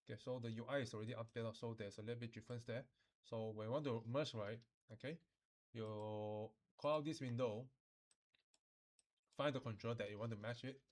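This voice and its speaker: A man giving an informal, colloquial walkthrough as a software tutorial instructor. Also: medium pitch, steady tone, brisk. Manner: colloquial, with sentence-final particles and Chinese-English code-switching. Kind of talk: informal screen-recorded software demo.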